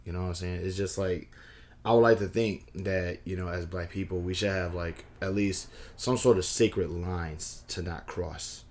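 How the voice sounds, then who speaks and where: A hoarse man vlogging casually, rambling with frequent restarts and a wry, conversational manner. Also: deep voice, steady tone, normal speed, darkish tone, a little hiss or hum. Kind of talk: casual talking-head vlog.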